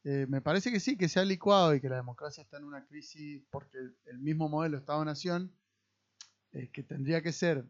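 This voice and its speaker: A man's voice: a little high, fairly quick, muffled recording. A man with a Rioplatense accent giving casual political commentary as a podcast co-host, explaining things analytically. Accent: Rioplatense Spanish.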